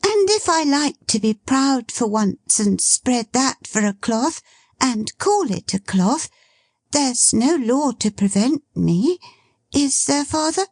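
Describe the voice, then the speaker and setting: A woman's voice: sits high, very animated, slow pace, darkish tone, no room sound, voice pushed up, full character voice. A woman voicing a joyful, teasing young daughter who talks with childlike glee. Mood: bright. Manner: gleeful, teasing, breathless.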